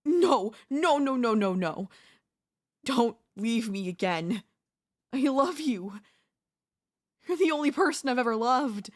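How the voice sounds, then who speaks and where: A woman's voice: fairly high pitch, expressive, slow, pauses often. A young woman playing an obsessive, lovesick yandere neighbor in an intimate, intense audio roleplay. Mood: desperate, begging. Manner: intimate, pleading, emotionally intense delivery. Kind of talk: ASMR audio roleplay acting.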